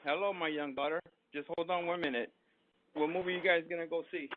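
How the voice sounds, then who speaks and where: A middle-aged man speaks quickly and casually, like a father who keeps pressing with questions. His voice is a bit high for a man. It comes through a narrow intercom connection with clear noise. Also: steady tone. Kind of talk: casual conversation. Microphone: doorbell intercom call. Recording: phone-like doorbell intercom audio, clear background noise.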